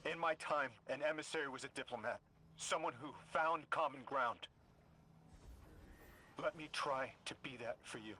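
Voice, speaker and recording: A man's voice: medium pitch, moderate pace, long pauses, sharp tone, a little hiss or hum, close to an everyday voice. An actor playing an earnest, resolute young man displaced in time. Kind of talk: video game voice acting.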